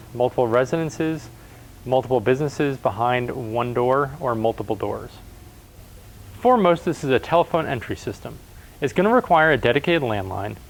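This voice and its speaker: A man with a mid-range voice walks through how a piece of equipment works. He speaks as a product presenter, explaining features step by step in a matter-of-fact, instructional manner. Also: mid-range voice. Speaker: product presenter for a door-access installer.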